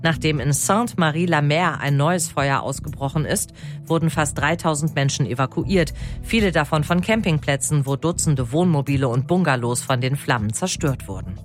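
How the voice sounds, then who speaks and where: A newsreader delivering national headlines in a steady, formal scripted read. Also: medium pitch, normal speed, faint music behind. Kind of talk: scripted radio news reading.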